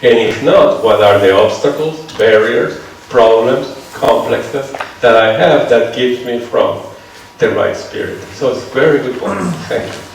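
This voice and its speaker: A man with a Venezuelan accent lecturing a seminar, talking in a loose, conversational way and tagging his points with "right?". His voice sits at a middle pitch. It is picked up from far off in a very echoey hall, with faint noise behind. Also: expressive, measured pace, creaky, few pauses. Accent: Venezuelan Spanish. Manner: conversational, checks on listeners with "right?". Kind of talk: lecture with audience questions.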